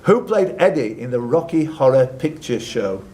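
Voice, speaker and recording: A man's voice: mid-range voice, big pitch swings, normal speed, dark tone, soft background music. A man hosting a live quiz, reading out questions with jokey, teasing asides to the contestants. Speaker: quiz host and question reader.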